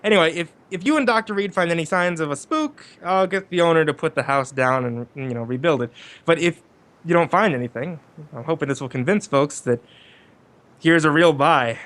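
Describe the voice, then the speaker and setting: A man acting a flustered, skeptical real estate agent in an old-time radio drama, talking casually with stammers and halting phrases. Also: a little high, fairly bright tone, natural voice. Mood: dismissive, salesmanlike.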